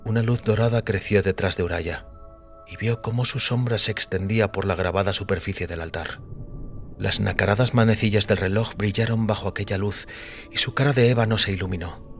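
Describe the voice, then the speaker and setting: A man's voice: on the low side, brisk, gravelly. A man reading scripted dramatic fiction as the narrator of an audio story. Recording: music under the voice. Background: background music.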